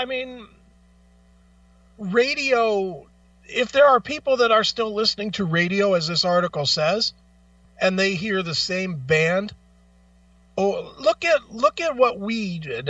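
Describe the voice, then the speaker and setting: A man's voice: high-pitched, sharp tone, no room sound, far from the mic, muffled recording. A man co-hosting a radio talk show, giving opinions in a casual, blunt, profane way.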